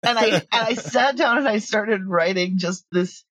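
A woman talking casually as an interview guest, telling stories in an animated way with quoted asides. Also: mid-range voice, normal speed, no echo. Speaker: audio drama creator, interview guest.

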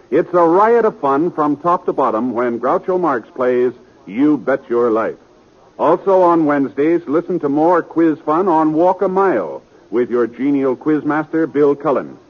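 An American man announcing in polished, upbeat 1950s radio style, reading a scripted promo. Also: fairly high pitch. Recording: dull 1950s radio broadcast.

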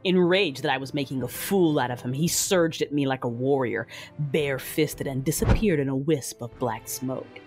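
A woman performs a grieving, vengeful Sith ruler telling her own story. She is solemn and menacing, with a cold measured delivery and music playing behind her narration. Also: a bass voice. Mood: cold fury. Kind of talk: dramatic first-person scripted narration.

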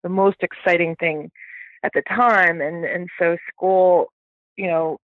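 A woman raised in Texas, born in Canada, chatting as an interview guest, talking in quick restarts and fillers. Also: lowish voice, steady tone, no room sound. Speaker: guest, startup CEO. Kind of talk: casual interview talk. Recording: tinny phone-like line.

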